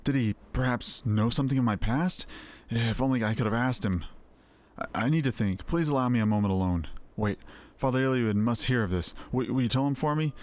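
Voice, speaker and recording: A man voicing a troubled, earnest fantasy game character, reading the dialogue in a deliberate way. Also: mid-range voice, a little mellow, natural voice. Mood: shaken, anxious.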